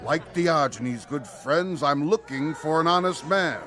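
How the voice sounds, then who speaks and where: An actor playing a showy, fast-talking patent medicine peddler with florid barker's patter, in old-time radio drama style. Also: male voice, a little high, natural voice. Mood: showy, coaxing. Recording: music behind, vintage radio drama.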